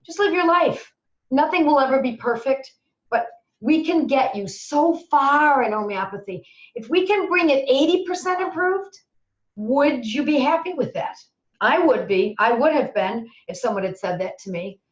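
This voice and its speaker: A middle-aged woman with a somewhat high voice answers webinar questions in a chatty, animated way, a slight hoarseness creeping in. The sound is roomy and dull, like lo-fi webinar audio. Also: moderate pace. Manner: chatty, animated, acts out quoted worries. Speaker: homeopathy instructor answering questions.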